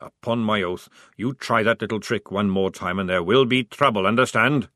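A man's voice: lowish voice, normal speed, a bit bright, dry recording, clean studio sound, close to an everyday voice. Man doing a bellowing army sergeant. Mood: commanding. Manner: barking orders.

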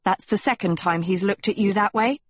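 Woman as a sly, husky mirror reflection. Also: mid-range voice, fairly quick, no room sound, natural voice. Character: hideous living reflection of a teenage girl, sly and needling.

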